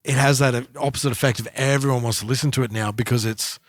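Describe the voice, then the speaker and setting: A man speaks at a middling pitch in a dry recording with little room sound. He is co-hosting a podcast, talking in a casual, conversational way and drawing on his own anecdotes. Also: fairly even intonation, quick pace, no room sound. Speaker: podcast co-host. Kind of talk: casual chat, personal anecdotes.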